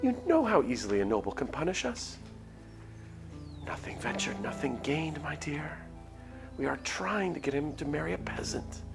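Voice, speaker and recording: A young village woman from a visual novel, played by a man, pitched well up from the actor's talk. She sounds earnest and conspiratorial, like someone plotting with close friends. Music is clear in the background. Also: very animated, moderate pace. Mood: scheming. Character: young village women, anxious, scheming friends.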